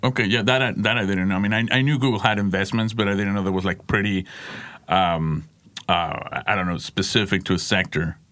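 Man, podcast co-host, low voice, halting, lots of restarts. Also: steady tone, fairly bright tone. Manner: halting, many restarts and fillers. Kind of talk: casual interview hosting.

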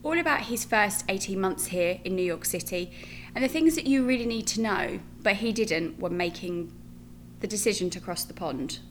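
A British woman hosts an interview podcast, asking long, loose questions full of "you know." Her voice sits at an ordinary pitch for a woman. A faint hum runs underneath. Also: expressive, moderate pace. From Britain. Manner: loose, rambling questions with fillers. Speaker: podcast host.

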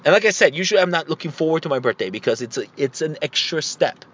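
A man's voice: fairly high pitch, expressive, quick pace. A man in his thirties or older talking casually to himself as a podcast host, in a rambling, conversational, mildly profane way. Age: 30s or older. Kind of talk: casual solo monologue, personal anecdotes. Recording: muffled lo-fi audio, loud car noise. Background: loud vehicle and road noise.

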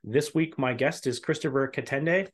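A man's voice: medium pitch, moderate pace. A man hosting a podcast, asking questions in a friendly, rambling way with many fillers. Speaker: podcast host. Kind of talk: casual interview hosting.

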